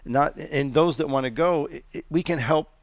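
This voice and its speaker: A middle-aged man hosting a reflective talk, earnest and guiding, with halting restarts. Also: a little high, no echo. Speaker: podcast host. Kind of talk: reflective conversational interview.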